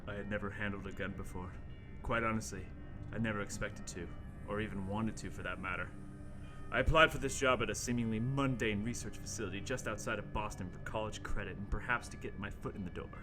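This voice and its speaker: A man's voice: on the low side, steady tone, quick pace. Theatrical man narrating horror over music. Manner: theatrical, mock-ominous. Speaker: host and radio play narrator. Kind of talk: dramatic scripted horror narration.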